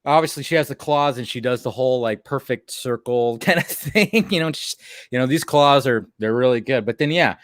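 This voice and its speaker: A man co-hosting a stream, chatting casually and rambling, with a laugh, his voice a bit deeper than usual after a late night. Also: brisk, a bit bright, no echo, studio quality. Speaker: co-host of a watch-along stream. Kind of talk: casual commentary chat.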